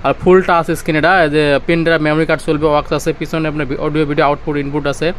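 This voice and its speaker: A man with a Bangladeshi accent giving an informal sales demonstration, often halting and repeating his phrases. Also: on the high side, level tone, a bit bright, slight background noise. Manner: repetitive, halting, with restarts. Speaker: seller demonstrating used electronics.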